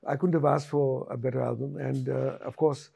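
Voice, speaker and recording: Gravelly, husky-voiced man, halting. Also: medium pitch, steady tone, dark tone. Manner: halting, many fillers and restarts. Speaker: singer and composer, guest. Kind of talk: reflective interview talk.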